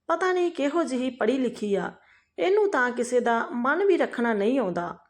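A bitter, domineering mother-in-law from a narrated family tale, acted by a woman storyteller. The voice is highish and lively in pitch, with a sharp, scolding way of talking. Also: moderate pace.

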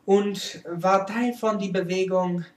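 A woman's voice: mid-range voice, fairly even intonation, slow pace, a little mellow, lightly acted. A woman playing a vain, theatrical male French artist with a French accent, presenting a comic art history lecture in broken German. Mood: lecturing. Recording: roomy, echoing amateur recording.

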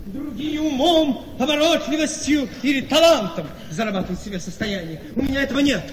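An actor playing a vain, scheming gentleman husband in a radio play, speaking persuasively and emphatically with weighty pauses. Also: very high-pitched, a crowd in the background. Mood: self-justifying, insistent. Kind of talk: theatrical radio play acting. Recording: noisy, long echoing hall reverb.

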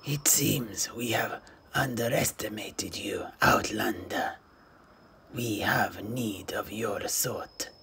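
Man voicing a grave, creaking desert warrior. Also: on the low side, pushed and bright, slight background noise, heavily acted. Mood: solemn. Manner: solemn, halting. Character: grave, weary desert nomad warrior, male. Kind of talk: dramatic storytelling.

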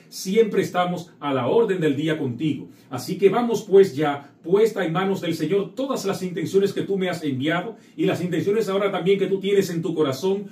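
Priest guy doing mass, voice a bit high, lots of room echo. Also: darkish tone. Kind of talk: liturgical prayer, scripture reading and address to the faithful. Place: indoor chapel set at an altar. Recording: echoey room, distant microphone.

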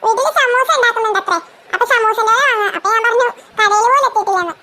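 An extremely high, squeaky little-girl voice for a cartoon kid sister. She sounds childlike, eager and pleading. The track has faint noise behind it. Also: rapid-fire, bright, forceful tone, heavily acted. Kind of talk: Malayalam comedy cartoon dialogue.

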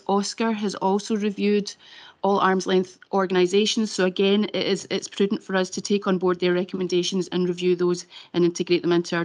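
A woman presenting a report at a council meeting, measured and formal, with frequent ums and restarts, speaking at a desk microphone in a council chamber. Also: medium pitch. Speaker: leisure trust officer presenting a report.

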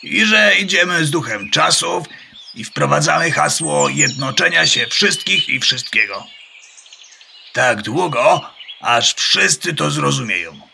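A pompous, earnest rooster in a children's audio play. He declaims slowly in a very sharp, forceful voice with a gravelly edge, birdsong behind him. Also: fairly high pitch, animated, slight background noise, exaggerated voice. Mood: lofty, rallying. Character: earnest, lofty talking farmyard rooster. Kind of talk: children's radio play acting.

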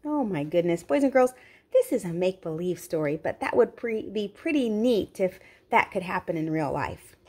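A woman's voice: on the low side, animated, moderate pace, dark tone, slightly rough. A woman reading a picture book aloud to young children, expressively, with simple explanatory asides. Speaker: children's read-aloud host.

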